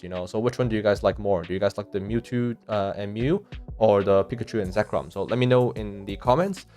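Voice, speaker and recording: A man's voice: lowish voice, darkish tone, clean tone. A man with a Hong Kong English accent hosting a card collecting vlog, talking casually with many fillers and restarts while he shows his graded cards. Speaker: card collecting channel host.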